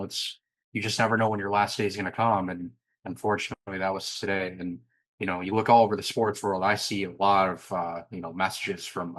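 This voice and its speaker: A young man co-hosting a sports podcast, chatting in a relaxed way with lots of fillers. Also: deep voice, no room sound, low-quality recording. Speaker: podcast co-host. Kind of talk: casual sports chat.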